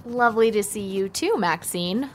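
A woman's voice: medium pitch, lively intonation, normal speed, noisy background, close to an everyday voice. A woman playing a blunt, sardonic, unsentimental teenage girl in a naturalistic audio drama, brusque and matter-of-fact. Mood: dry, impatient.

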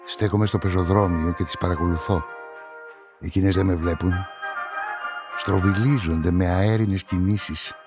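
An actor playing a brooding, lovelorn narrator of a radio crime story, measured and reflective. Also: male voice, sits low, steady tone, over music, close to an everyday voice. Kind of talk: scripted first-person radio drama narration.

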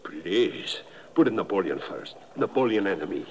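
Grandiose, animated Corsican man. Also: deep voice, unhurried. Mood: proud, dreamy. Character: proud, self-important Corsican man. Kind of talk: 1950s radio acting. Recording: old, slightly noisy radio recording.

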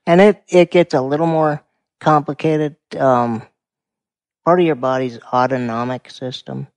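Quiet, gravelly Montana man in his forties. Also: mid-range voice, a little slow, no room sound. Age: 40s. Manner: calm, explanatory. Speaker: guest. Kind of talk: casual conversation.

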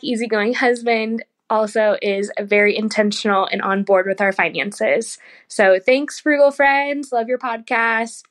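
A woman's voice: mid-range voice, fairly bright tone. A woman from Oklahoma leaving an upbeat, earnest voice message for a podcast. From Oklahoma, USA. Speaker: caller. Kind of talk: recorded voice message.

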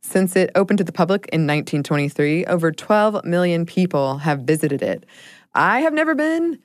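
Low-voiced American woman hosting a podcast. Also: lively intonation, normal speed, dry recording, studio quality. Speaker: podcast host. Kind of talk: casual co-hosted podcast chat.

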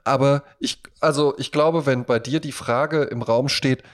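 A man's voice: mid-range voice, fairly even intonation, unhurried. A man chatting casually as a podcast co-host, in rambling phrases with repeated words.

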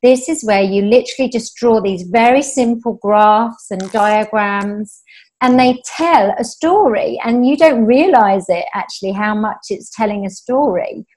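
A woman's voice: on the high side, measured pace, a little mellow, rough recording. A woman hosting a podcast interview, speaking with enthusiasm and emphasis, stringing ideas together in lists. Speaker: podcast host.

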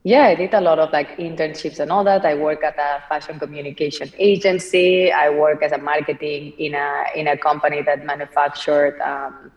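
Low, flat-voiced woman with a Spanish accent. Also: far from the mic, highs cut off. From Spain, Barcelona. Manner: thoughtful, many fillers, slow at times. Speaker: company founder, guest. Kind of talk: podcast interview, conversational.

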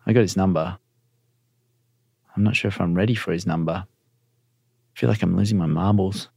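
Male narrator for confessional short fiction: very low, creaky register, measured phrasing. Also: steady tone, mellow. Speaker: storyteller reading own short story. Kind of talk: literary first-person narration.

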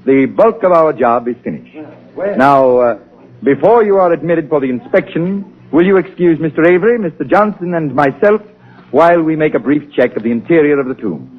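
An actor playing a learned, courteous archaeologist with formal diction in a 1930s radio drama. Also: male voice, fairly high pitch, expressive, brisk, natural voice. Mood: formal, gracious. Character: learned, courteous expedition director, male doctor of archaeology. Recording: tinny, band-limited 1930s radio.

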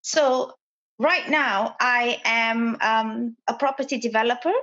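A woman's voice: mid-range voice, fairly bright tone, muffled recording. A woman from Malaysia, a property developer, speaking as a podcast guest, hesitant with many fillers and restarts.

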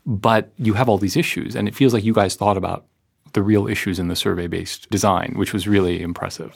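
Fast-talking gravelly male interviewer. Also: lowish voice, steady tone. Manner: quick, enthusiastic, many affirmations. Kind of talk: conversational interview.

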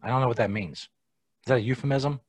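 A man's voice: medium pitch, fast, slightly rough, dry recording. An American man hosting a live podcast, chatting casually with a profane, sardonic tone and muttered asides. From United States. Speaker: podcast host. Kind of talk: casual live show chat.

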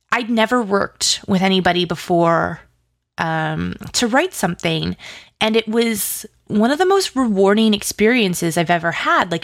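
A woman being interviewed about creative work, speaking informally and effusively in tumbling asides. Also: mid-range voice. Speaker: interview guest, audio drama writer and producer. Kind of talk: casual interview chat.

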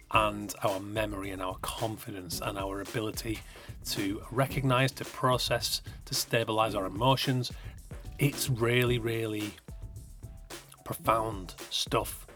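A man in his thirties hosting a podcast, talking in an earnest, rambling, passionate way. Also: fairly low pitch. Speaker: podcast host. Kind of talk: podcast intro and interview.

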